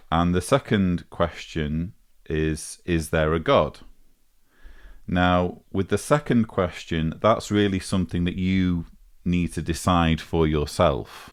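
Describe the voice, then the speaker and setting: Very slow, low-voiced man, deliberate commentary. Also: clear, resonant tone. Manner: deliberate, articulate, formal phrasing. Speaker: vlog host. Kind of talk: measured spoken commentary replying to a voicemail.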